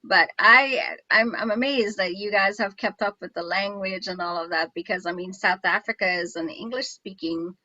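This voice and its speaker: A woman's voice: mid-range voice, normal speed, few pauses, bright, forceful tone, no room sound, lo-fi sound. A woman from Guyana hosting an interview, chatting enthusiastically with many restarts. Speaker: show host. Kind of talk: casual interview chat.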